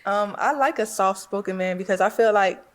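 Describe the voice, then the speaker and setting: A woman's voice: medium pitch, moderate pace. A woman on a reunion panel speaking playfully in casual slang. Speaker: dating show contestant.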